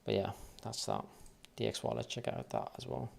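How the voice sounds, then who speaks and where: A deep-voiced man from Finland with a light Finnish accent and a gravelly, creaky edge. He talks casually through trading charts on a livestream. He thinks aloud and mutters wave counts under his breath. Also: huge pitch swings, moderate pace, darkish tone.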